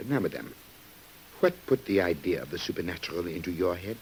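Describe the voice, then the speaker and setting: An actor playing a shrewd, suspicious French police commissioner in an old radio drama, formal, courteous and probing, with an air of authority. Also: male voice, low voice, sing-song, a little fast, poor audio. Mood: probing, firm. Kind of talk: 1950s radio drama acting. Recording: hissy old radio recording.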